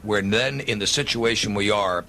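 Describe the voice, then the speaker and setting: A man's voice: normal speed, sharp tone, poor audio. A man arguing bluntly as a guest in a political radio discussion. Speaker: political talk show guest. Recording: clear background noise, low rumble.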